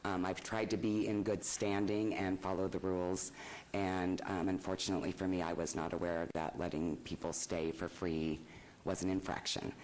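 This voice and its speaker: Soft-spoken low-voiced man testifying. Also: flat delivery, normal speed, slight background noise, low-quality recording. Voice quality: low, quiet voice. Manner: hesitant, many ums, apologetic. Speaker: property owner appealing a fine. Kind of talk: public testimony. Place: city council chamber.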